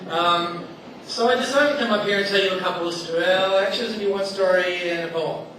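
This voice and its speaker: A man's voice: high-pitched, fast talker, sharp tone. A man telling rambling, self-deprecating stories to a live audience from a stage, laughing at his own jokes. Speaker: stage storyteller at a community event. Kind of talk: casual stage storytelling. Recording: echoey hall with noise from far off.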